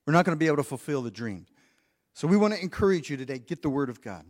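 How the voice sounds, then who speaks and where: A man's voice: on the high side, big pitch swings, very fast, darkish tone. A man preaching a practical sermon to his congregation, earnest, often repeating words as he thinks and asking the room questions. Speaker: pastor. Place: church sanctuary. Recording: long church hall reverb.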